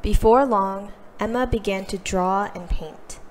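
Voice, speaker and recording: A woman's voice: medium pitch, measured pace, big-room sound. Woman reading a storybook over background noise. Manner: animated delivery, acting out the characters' quoted lines. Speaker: storybook reader. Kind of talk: expressive read-aloud of a children's picture book. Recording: clearly noisy background.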